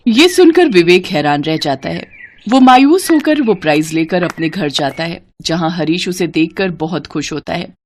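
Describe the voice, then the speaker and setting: Lively woman narrating a moral story. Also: fairly low pitch, normal speed.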